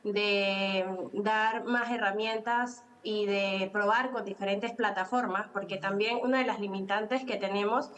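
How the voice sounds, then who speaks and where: A woman from Venezuela with a Venezuelan Spanish accent, explaining calmly as a conference panelist and pausing often on fillers. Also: mid-range voice, a little hiss or hum. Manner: explanatory, with frequent filler pauses.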